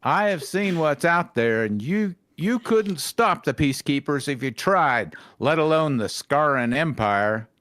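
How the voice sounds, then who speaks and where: A man's voice: a little high, animated, unhurried, few pauses, a bit bright. A man playing a stern, menacing man protecting his family in a fan fiction reading. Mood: threatening. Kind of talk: fan fiction read in character.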